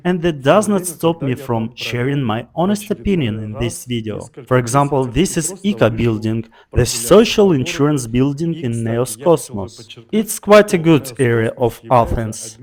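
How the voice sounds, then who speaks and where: A man's voice: mid-range voice, a little mellow. Earnest middle-aged man with a non-native English accent. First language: not English. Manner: earnest, opinionated, measured. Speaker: vlogger. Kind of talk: walking vlog narration.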